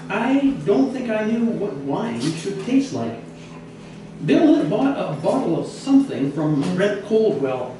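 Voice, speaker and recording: A man reading a humorous true story aloud as a storyteller, expressive, with some hesitant repeated words. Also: a little high. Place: echoey indoor bistro. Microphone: distant microphone in the room. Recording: roomy, echoey, noisy, distant mic.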